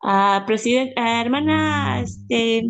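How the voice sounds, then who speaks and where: A woman's voice: a little high, takes it slowly, dry recording. A woman teaching a family history class over a video call, speaking in a friendly way with many fillers. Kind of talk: online class, casual explanation.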